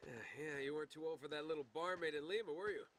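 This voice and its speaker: A man's voice: fairly high pitch, quick pace, fairly bright tone, a little hiss or hum, lightly acted. Man as a laid-back, wisecracking young adventurer. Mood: teasing. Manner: casual, teasing, sardonic. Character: young male adventurer, easygoing, wisecracking treasure hunter. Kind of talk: cinematic adventure game dialogue. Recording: game audio under music.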